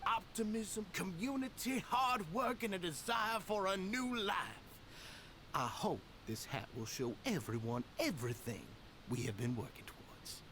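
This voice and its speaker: Earnest club leader, lively intonation. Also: male voice, high-pitched, unhurried, slight background noise, heavily acted. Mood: proud, hopeful. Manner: formal, eager, courteous. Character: earnest, deferential, idealistic head of a club. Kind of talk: video game voice acting.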